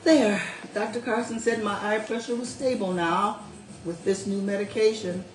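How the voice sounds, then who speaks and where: A woman's voice: medium pitch, fairly even intonation, normal speed, recorded from a distance, pitched above the performer's own voice, close to an everyday voice. Woman reading as a sly older widow, gleeful confiding tone, roomy echo, faint music.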